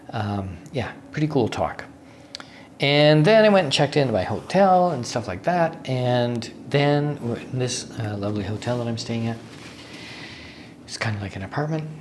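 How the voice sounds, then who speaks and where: A man talks casually to his vlog audience in a mid-pitched, slightly raspy voice. He speaks off the cuff, halting to find the right word and wandering into small asides. The recording is from a hotel room, with a faint layer of noise behind him. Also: darkish tone. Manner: halting, searches for words, rambling asides. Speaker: vlogger, software developer. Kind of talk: casual unscripted vlog recap.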